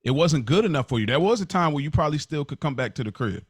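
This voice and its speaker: A man co-hosting a podcast, talking in a relaxed, rambling way with many restarts. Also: a little high, fast talker, breathy edge, no echo, studio quality. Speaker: podcast co-host. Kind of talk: casual chat.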